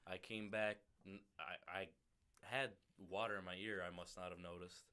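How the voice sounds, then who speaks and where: A man's voice: deep voice, steady tone, moderate pace, pushed and bright, clear, resonant tone. A young man co-hosting a podcast who sounds congested, with a wry, offhand manner. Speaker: podcast co-host.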